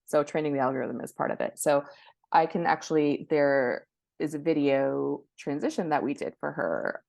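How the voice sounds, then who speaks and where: A woman's voice: low voice, normal speed, creaky, darkish tone, no echo. A woman speaking as a guest marketing expert, explaining things conversationally in an interview.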